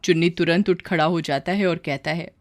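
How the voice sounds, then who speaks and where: A woman's voice: on the low side, steady tone, brisk, no room sound. A woman storyteller giving scripted narration of a story.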